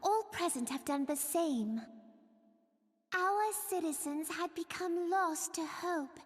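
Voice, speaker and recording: An actress playing a gentle young queen in a fantasy video game, earnest and resolute, speaking with formal composure. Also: very high-pitched, slow pace. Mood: consoling, earnest. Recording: long hall reverb.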